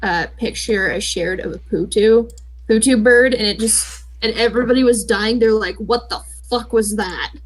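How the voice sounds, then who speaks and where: A woman's voice: on the high side, moderate pace, low-quality recording. A young woman casually reading a fan comic aloud with friends, breaking off to joke and chat. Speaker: comic dub reader.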